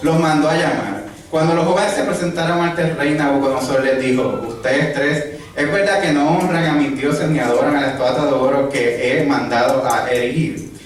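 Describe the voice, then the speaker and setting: Puerto Rican pastor preaching in an echoing hall. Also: male voice, mid-range voice, moderate pace. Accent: Puerto Rican Spanish. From Puerto Rico. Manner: rhetorical questions, lively emphasis. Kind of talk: sermon. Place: church hall. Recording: echoey hall, distant mic, faint ambience.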